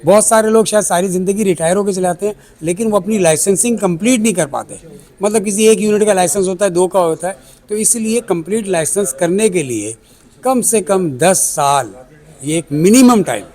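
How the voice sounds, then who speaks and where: A Pakistani-accented man with a high-pitched voice gives explanatory interview answers as an expert. He lays things out step by step, dropping English technical terms into his sentences. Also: a little fast, darkish tone. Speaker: air traffic control chief, aviation expert. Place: air traffic control centre.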